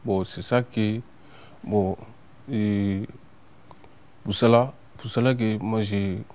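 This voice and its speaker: A young man from Mali, a student, giving personal testimony haltingly and repeating words. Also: lowish voice, steady tone, a little mellow. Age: young adult.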